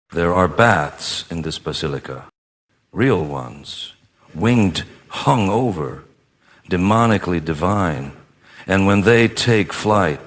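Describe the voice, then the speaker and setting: A low male voice acted by a man gives us a world-weary, half-mad gonzo reporter. He is ominous and darkly sardonic, delivering monologues in a radio comedy.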